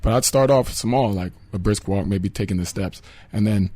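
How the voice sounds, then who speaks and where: A man giving calm, matter-of-fact fitness advice as a guest on a radio talk show in a studio. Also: on the low side, normal speed, no echo.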